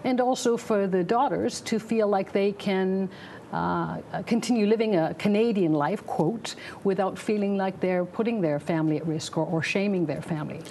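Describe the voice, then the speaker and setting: A woman in her fifties, a social worker explaining calmly on a TV panel in a studio. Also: medium pitch, a little hiss or hum. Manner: measured, explanatory. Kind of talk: televised panel discussion.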